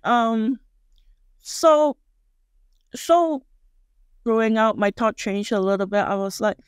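Malaysian Chinese-accented woman, halting, dry studio sound. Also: fairly high pitch, animated, clean tone, no echo. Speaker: guest chef and culinary instructor. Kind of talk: casual podcast interview. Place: small podcast studio. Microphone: close studio microphone on boom arm.

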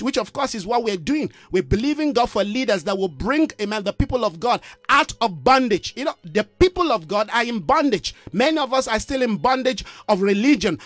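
A man's voice: high voice, a bit bright, dry recording. A middle-aged man preaching in an online broadcast, emphatic and repetitive, with frequent "amen" and "you know" fillers. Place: indoor study with bookshelves. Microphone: studio mic on a boom arm, close.

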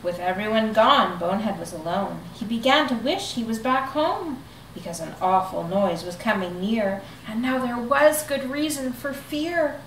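A woman in her thirties reading a children's rhyming picture book aloud in an expressive, rhythmic way, seated in a living room. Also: fairly high pitch, normal speed, slight background noise. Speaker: storybook reader. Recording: echoey room, mic at a distance.

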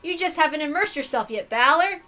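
A woman's voice: high-pitched, lively intonation, a little fast, bright, forceful tone, soft background music, voice pushed up. A woman voicing a haughty, mocking witch in a visual novel reading, with theatrical, taunting delivery. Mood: gleeful taunting. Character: haughty, mocking female witch who loves to cackle.

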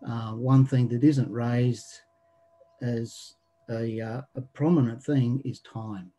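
A man in his fifties with an Australian accent, reporting back from a small group discussion on a webinar, with many pauses and ums. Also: mid-range voice, fairly even intonation, rough recording. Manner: halting, many ums, trailing off quietly. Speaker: webinar participant. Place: living room at home. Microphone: video call webcam.

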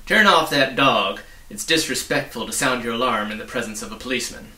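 A man's voice: medium pitch, a little fast, sharp tone, echoey room, distant mic. A man voicing a tiny, fierce, bossy storybook policeman with a blustering, accusatory manner. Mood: accusing.

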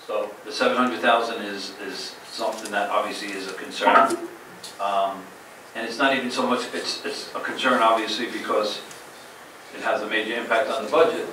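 A man serving as town manager, reporting to the council in a measured, hesitant way with many fillers and a New Jersey accent. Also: fairly low pitch, flat delivery, bright, forceful tone. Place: large council chamber, indoors. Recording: very echoey chamber from far off, audible noise.

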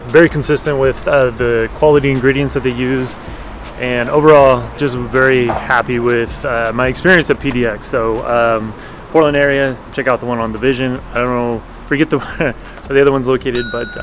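A man talking casually in a food and travel vlog, relaxed and easy, with fillers and small laughs, filmed on a camera outdoors in the city. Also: mid-range voice, normal speed, slight background noise. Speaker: travel vlogger. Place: outdoors in the city, in wind and rain.

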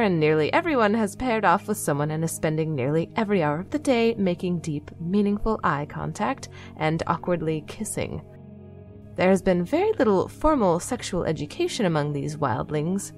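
Weary female android nun, audio drama broadcast, lowish register, dry delivery over prominent music. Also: darkish tone. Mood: dry, exasperated. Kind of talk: scripted audio drama monologue.

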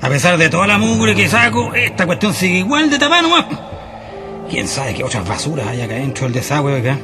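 A Chilean man playing a folksy, evasive caretaker in a radio drama, with colloquial, halting, repetitive speech. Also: high-pitched, very animated. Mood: grumbling. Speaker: actor. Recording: music behind the voice.

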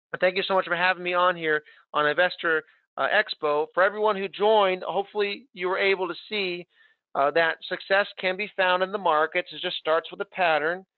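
A man wrapping up his webinar presentation in a brisk, promotional way, heard over a video call. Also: high-pitched, no echo. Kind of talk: closing remarks of a presentation. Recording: dry, phone-like audio.

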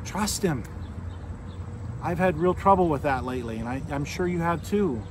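An American man in his forties preaching an informal sermon as a pastor, earnest and conversational, with pauses and rhetorical questions. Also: fairly high pitch, expressive, a little mellow. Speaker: Lutheran pastor. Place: outdoors beside a fallen tree near a street. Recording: outdoor noise, insects and vehicles.

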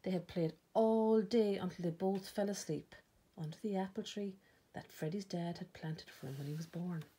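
An Irish woman reading a children's picture book aloud expressively, with dramatic pauses and emphasis. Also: on the low side, mellow, husky. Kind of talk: storytime read-aloud narration.

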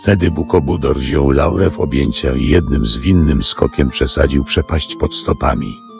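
Very deep, gravelly male storyteller. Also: normal speed, darkish tone. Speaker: narrator. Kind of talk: scripted fairy-tale storytelling for an audio drama. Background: music.

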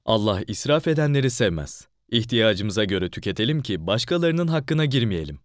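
A man narrates a scripted moral in a fairly low voice. His intonation moves widely as he reads. Noticeable background sound sits behind him. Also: sing-song, normal speed, background noise.

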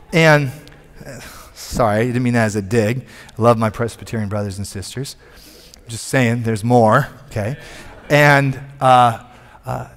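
A man in his forties, a pastor preaching a sermon from a church stage on a headset mic, animated and conversational, with frequent restarts. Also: mid-range voice. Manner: animated, moving about the stage and gesturing.

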